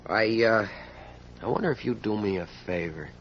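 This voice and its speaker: An actor playing an anxious, pleading male suspect in an old radio mystery, speaking in a halting way. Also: lowish voice, gravelly. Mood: nervous hesitation. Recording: dull, noisy old radio recording.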